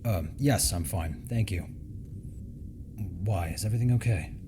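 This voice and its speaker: A man's voice: fairly low pitch, unhurried, noisy background, natural voice. A man playing an awkward, introspective starship ensign in an audio drama, hesitant and flustered. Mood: confused, hesitant.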